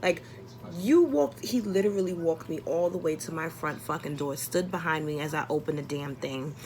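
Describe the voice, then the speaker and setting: A woman's voice: low voice, normal speed, darkish tone, slight background noise, rough recording. A young adult woman telling a personal story casually, exasperated and blunt, acting out dialogue and swearing often.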